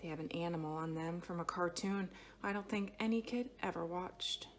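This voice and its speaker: A woman reading a children's picture book aloud expressively, with dramatic phrasing that stretches some words and hushes others. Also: on the low side, normal speed, a little hiss or hum. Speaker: storybook reader.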